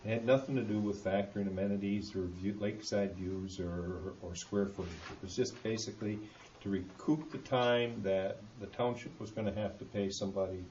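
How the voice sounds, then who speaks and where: A man speaking in a halting, deliberate way as a board member at a public meeting in a hall. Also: lowish voice, steady tone, a little mellow. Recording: muffled, echoey meeting room, background hiss.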